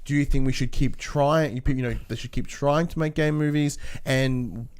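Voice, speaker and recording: A man's voice: medium pitch, steady tone, moderate pace, darkish tone, clear, resonant tone. A man co-hosting a podcast, steering the discussion in a casual, conversational style. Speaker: podcast co-host.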